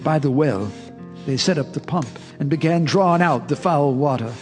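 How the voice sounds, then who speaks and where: An actor playing a shaken farmer who recalls a horror as a halting, brooding radio drama narrator. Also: male voice, a little high, close to an everyday voice. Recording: music under the voice.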